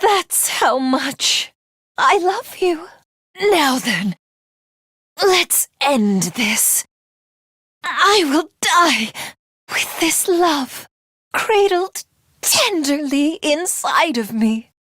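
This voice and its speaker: An imperious alien warlord woman who believes herself divine. Her speech is slow, formal and theatrical, with highly expressive pitch movement. It is a video game character voice in a dry, clean recording. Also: dry recording, full character voice. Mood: tender, resigned. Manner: haughty, formal, theatrical, deliberate. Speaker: voice actress.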